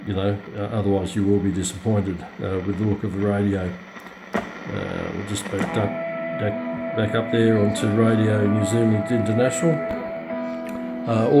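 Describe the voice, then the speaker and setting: Hesitant low-voiced man, casual demo. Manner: hesitant, halting delivery with very frequent ums and pauses. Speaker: radio collector presenting a receiver. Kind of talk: casual unscripted product demonstration.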